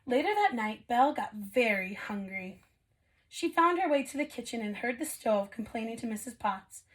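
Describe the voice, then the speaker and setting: A woman who teaches kindergarten prep, reading a storybook to young children in an expressive, animated way. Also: fairly high pitch, normal speed, low-quality recording. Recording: clear background noise.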